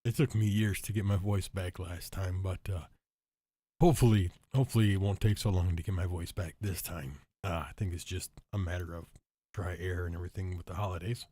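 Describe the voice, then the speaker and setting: A deep-voiced man with a husky, worn-out sound, hoarse and a bit stuffy, talks casually to his viewers. He rambles, circles back, and fills pauses with "uh" and "um". His mellow voice sits in a dry, close recording. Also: steady tone, dry recording. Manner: rambling, thinking aloud with many fillers and restarts. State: hoarse, stuffy after illness. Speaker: show host. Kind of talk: casual solo talk to viewers.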